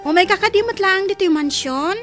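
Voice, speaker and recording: A woman's voice: very high voice, brisk, over music. A woman playing a friendly, chatty young housemaid at a mansion in a radio comedy drama.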